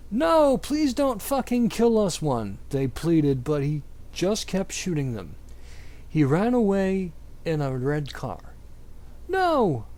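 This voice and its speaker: Theatrical man reading slowly, with big pitch swings. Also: warm tone. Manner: theatrical, exaggerated delivery; breaks into laughter and stumbles while reading. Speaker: amateur reader. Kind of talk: dramatic reading of fan fiction.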